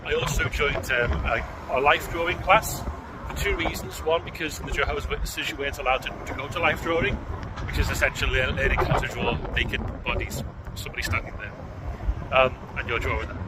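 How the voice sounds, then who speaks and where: A man from the United Kingdom giving casual advice in a conversational way, with frequent ums and restarts. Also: mid-range voice. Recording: loud outdoor background noise.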